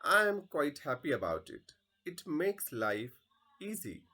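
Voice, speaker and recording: A man's voice: a little high, big pitch swings, unhurried, bright, forceful tone, full character voice. A man reading the part of a bored, officious palace guard in a stage play, in a declamatory way. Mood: content.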